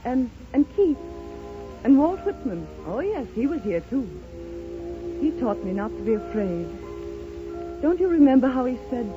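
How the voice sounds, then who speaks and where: An actress playing a serene, rapturous bedridden blind woman in a 1930s radio drama, speaking with lyrical, theatrical fervor. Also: fairly high pitch. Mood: tender, serene. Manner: theatrical, impassioned, lyrical declamation. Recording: muffled 1930s radio broadcast, loud music.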